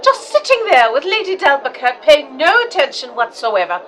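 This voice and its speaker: A woman acts an easily scandalized lady companion in a radio mystery, high, bright and swooping. She is prim and excitable, with noise behind her. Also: moderate pace. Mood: indignant. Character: indignant, excitable lady sidekick, primly scandalized. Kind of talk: audio drama acting.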